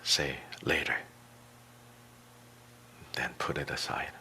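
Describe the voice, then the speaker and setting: A man teaching a guided meditation, speaking deliberately in short phrases with long pauses between them. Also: a bass voice, expressive, sharp tone, a little hiss or hum.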